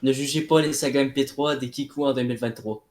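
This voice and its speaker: A man's voice: mid-range voice, fairly even intonation, quick pace, darkish tone. A man chatting casually on a podcast in a joking, mock-promotional way. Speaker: podcast participant. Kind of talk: casual group chat.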